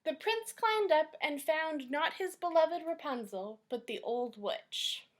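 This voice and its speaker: Female storytime host for children: high-pitched, very slow-paced, expressive and deliberate read-aloud delivery.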